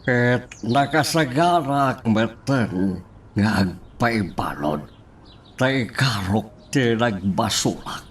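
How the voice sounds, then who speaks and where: An actor playing a halting, hesitant uncle in a radio drama, speaking very slowly with a lively rise and fall. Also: male voice, mid-range voice, a little hiss or hum. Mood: worried. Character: older man, uncle.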